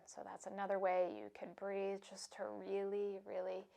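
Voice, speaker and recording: A woman yoga instructor giving calm, soothing, unhurried cues for stretching, breathing and meditation. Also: medium pitch, vocal fry.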